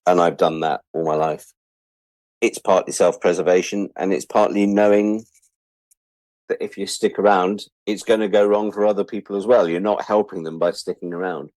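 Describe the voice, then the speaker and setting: Low-voiced wry Englishman. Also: steady tone, moderate pace, a little mellow, dry recording, poor audio. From England. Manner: wry, self-deprecating, acts out imagined quotes. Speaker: interview guest. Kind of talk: reflective casual chat.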